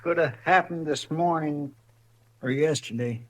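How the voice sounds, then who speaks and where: An actor playing a folksy, deferential cowboy sidekick with a homespun drawl, in a 1950s radio western. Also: male voice, a little high, a little slow, lightly acted, rough recording. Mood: weary. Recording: vintage radio drama recording.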